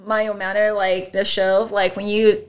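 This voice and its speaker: A woman's voice: mid-range voice, little pitch movement, moderate pace. A woman from Taiwan, a Mandarin speaker, hosting a podcast in chatty, simple phrasing. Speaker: podcast host. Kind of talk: casual interview chat. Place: living room. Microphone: podcast mic on a stand.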